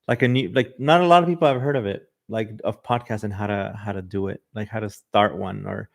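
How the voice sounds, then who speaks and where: A man's voice: lowish voice, brisk, creaky. A man from Southern California with a slight Mexican accent, a podcast host chatting loosely on a livestream and reading viewer comments, with lots of "like" and "um". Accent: Mexican Spanish influence. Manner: loose and chatty, many "like" and "um" fillers. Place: livestream in front of a camera.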